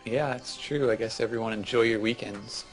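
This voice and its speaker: A man co-hosting a gaming show, chatting casually and explaining things with hesitant pauses and fillers. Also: medium pitch, fairly even intonation, faint music behind, rough recording. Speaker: gaming co-host. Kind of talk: casual chat, game advice.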